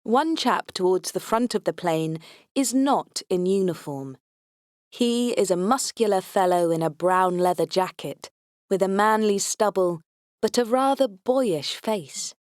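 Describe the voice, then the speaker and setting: A woman's voice: medium pitch, animated, measured pace, darkish tone, no room sound, studio quality. A woman reading a science fiction comedy audiobook aloud in the first person, with a wry, tongue-in-cheek delivery. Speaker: audiobook narrator.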